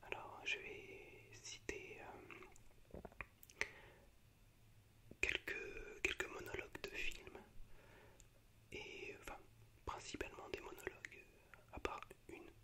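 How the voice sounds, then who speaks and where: A man's voice: takes it slowly, long pauses. Whispering man, ASMR style. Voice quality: unvoiced, breathy whisper. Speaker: ASMR video host. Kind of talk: whispered ASMR, reciting film monologues.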